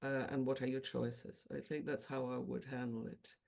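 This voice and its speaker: A woman's voice: very deep voice, flat delivery, normal speed, creaky, darkish tone. Reflective woman questioning herself aloud. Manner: self-questioning, reflective, stumbling. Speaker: coaching supervisor in discussion.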